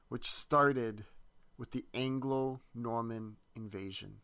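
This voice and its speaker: A man presenting a history lesson, reading a dense text aloud in a measured way with small slips and restarts. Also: mid-range voice, a bit bright, dry recording.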